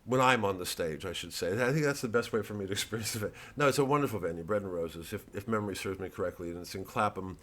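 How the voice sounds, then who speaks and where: A man, a comedian, giving a rambling, casual monologue to an online audience in a halting, digressive, self-deprecating way. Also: lowish voice, a bit bright.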